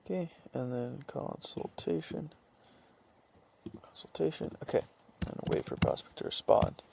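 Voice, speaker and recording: Man giving an informal, halting software tutorial. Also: a little high, creaky, a little hiss or hum. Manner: halting, thinking aloud while typing and reading out script. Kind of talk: informal screen-share tutorial.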